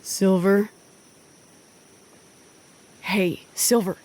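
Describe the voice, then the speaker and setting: A woman's voice: mid-range voice, steady tone, extremely slow, darkish tone, slight background noise. An actress playing a driven, stubborn woman leading weary travelers in an audio drama, speaking in an insistent, clipped, impatient way. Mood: brisk, practical. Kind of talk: naturalistic audio drama acting.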